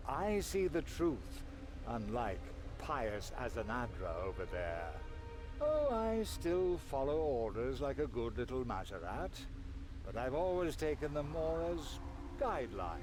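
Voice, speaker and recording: An actor playing a sly, mocking, self-serving trickster from a shadow realm in a fantasy video game, with a teasing, theatrical drawl. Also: male voice, medium pitch, very animated, unhurried. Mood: conspiratorial, persuasive. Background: music.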